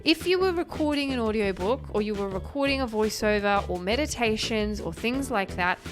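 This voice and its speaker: A woman with a light, higher voice, talking in a friendly, chatty and explanatory way on a close podcast microphone. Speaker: host reviewing microphones. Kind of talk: casual tech review talk to camera.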